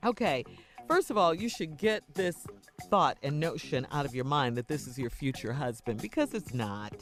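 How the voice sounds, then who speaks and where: Lady radio host reading stuff out, animated delivery, faint music behind. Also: sits low, moderate pace. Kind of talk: radio hosting, reading letters and ads. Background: soft music.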